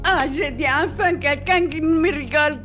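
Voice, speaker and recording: A woman from central Italy, older, reminiscing in an interview in a halting, reflective way that repeats words. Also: sits high, a bit bright, faint music behind. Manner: reflective, halting, repeats words as she tells her stories. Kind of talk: reminiscing storytelling. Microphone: handheld mic held by the interviewer.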